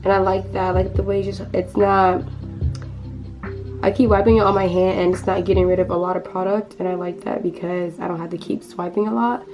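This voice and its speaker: A teenage girl with a hoarse voice from losing it, chatting casually through a makeup tutorial with many fillers and restarts. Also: fairly low pitch, little pitch movement, brisk, music in the background. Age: teens. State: hoarse, lost voice. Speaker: beauty vlogger.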